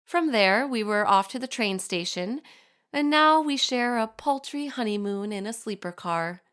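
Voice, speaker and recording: Poised, crystal-clear young society lady. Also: fairly high pitch, lively intonation, measured pace, a bit bright. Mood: wry, composed. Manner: formal, refined, composed diction. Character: poised, genteel young society lady writing to her mother. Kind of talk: dramatic monologue reading a letter aloud.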